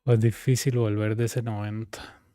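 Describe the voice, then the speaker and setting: Low-pitched man chatting casually on stream. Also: level tone, moderate pace, mellow, no echo, clean studio sound. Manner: informal, slangy banter, switching between Spanish and English. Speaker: live streamer. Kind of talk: casual gaming stream chat.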